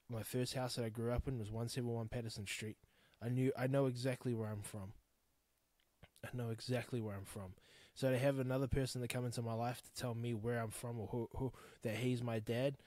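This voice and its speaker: A man's voice: medium pitch, fairly even intonation, a little fast, a little mellow, dry recording. Blunt, swearing New Zealand man in his thirties. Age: 30s. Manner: blunt, swears freely, rambling and heartfelt. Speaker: podcast host. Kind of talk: candid solo podcast monologue.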